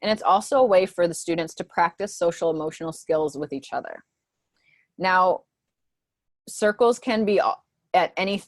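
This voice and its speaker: A woman's voice: fairly low pitch, a little mellow, no echo, studio quality. Woman mentoring teachers on a video call. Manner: conversational, many "um" and "right?" asides. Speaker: school mentor presenting to teachers.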